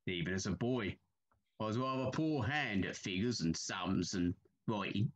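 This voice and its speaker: A man's voice: on the low side, normal speed, close to an everyday voice. A male actor playing a guarded, solitary Victorian signalman in an audio drama, speaking in a terse, subdued, haunted way. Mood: resigned, guarded.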